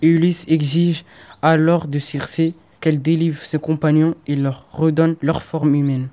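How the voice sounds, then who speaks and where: A man's voice: fairly high pitch, level tone, unhurried, warm tone, faint music behind. A teenage boy reading scripted story narration for an audio drama.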